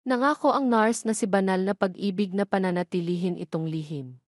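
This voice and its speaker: A woman's voice: mid-range voice, a little fast, dry recording, clean studio sound. A woman with a Filipino accent reading a scripted narration for an audio drama love story.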